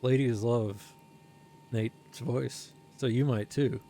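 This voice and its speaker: A man's voice: medium pitch, fairly even intonation, mellow, slight background noise. A man streaming a flight sim, explaining each step in casual commentary while thinking aloud and reading out numbers carefully. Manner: reads out numbers slowly and carefully.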